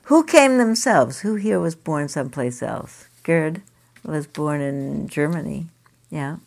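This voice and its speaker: An older woman teaching a group, asking many quick questions in a chatty way. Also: low voice, expressive, creaky, a little mellow. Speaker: meditation teacher leading a group. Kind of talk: informal group discussion.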